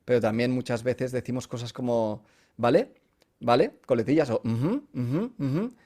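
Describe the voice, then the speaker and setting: A man with a Castilian Spanish accent and an average-pitched voice, speaking as a voice coach in a podcast interview. He explains casually, using everyday examples and stopping to check he is understood. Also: animated, moderate pace, warm tone. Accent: Spanish from Spain.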